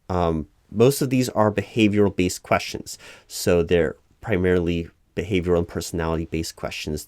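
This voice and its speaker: A man with a low voice plays an officious recruiting manager in a spoken-aloud ASMR roleplay. He explains things patiently but haltingly, as if improvising the interview. The sound is dry and close, with very little room in it. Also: darkish tone, no room sound. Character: flustered, officious male recruiting manager at a company. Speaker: job interviewer in a one-sided roleplay.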